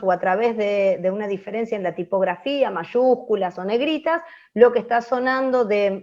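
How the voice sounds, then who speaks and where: A woman with an Argentine accent teaching an open class, explaining off the cuff and often pausing to check that listeners follow. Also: fairly high pitch. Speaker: radio drama teacher. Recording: dull, muffled audio.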